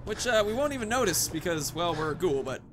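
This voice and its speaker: A chatty man hosts a video game playthrough, thinking aloud casually. His voice is on the high side and swings around in pitch, with a bright edge. Faint game noise sits behind him. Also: sing-song, quick pace. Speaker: gaming host. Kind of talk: casual let's play commentary.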